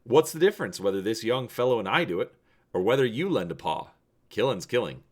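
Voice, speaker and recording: Male narrator as a folksy old space prospector, blunt, stubborn, cheery, animated intonation, storybook reading. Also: on the low side, a little fast. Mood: cheerful, pressing. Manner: folksy, blunt, colloquial speech.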